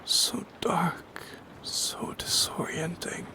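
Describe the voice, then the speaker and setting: Man voicing a slow whispering shadow creature. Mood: tender, earnest. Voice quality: breathy whisper with creaky edges. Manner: slow, halting, solemn, poetic delivery. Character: lonely yearning shadow creature, brooding and tender. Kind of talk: soft-spoken whispered ASMR roleplay.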